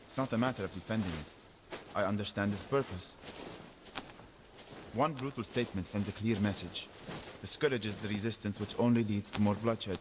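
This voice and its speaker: A man's voice: fairly low pitch, moderate pace, background noise, natural voice. A man voicing a guarded, pragmatic shepherd guide in an audio adventure game. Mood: grim, pragmatic. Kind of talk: dramatic audio game acting.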